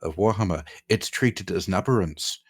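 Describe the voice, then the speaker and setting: A man hosting a casual podcast discussion, talking in a halting way as he breaks off and searches for his words. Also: sits low, slightly rough. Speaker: podcast host.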